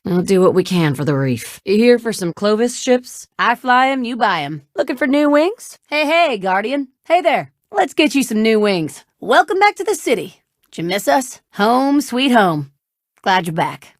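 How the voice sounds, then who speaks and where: A woman's voice: mid-range voice, no echo, clean studio sound, lightly acted. A woman voicing a brash, wry, hardworking shipwright in a video game, with a casual, folksy, bossy and teasing manner. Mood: breezy, welcoming. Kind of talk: video game character dialogue.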